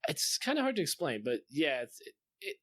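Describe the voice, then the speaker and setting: A man's voice: a little high, huge pitch swings, fairly quick, fairly bright tone, no echo. A man co-hosting a podcast, chatting casually and enthusiastically about games, with some swearing. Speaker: podcast co-host. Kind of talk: casual gaming chat.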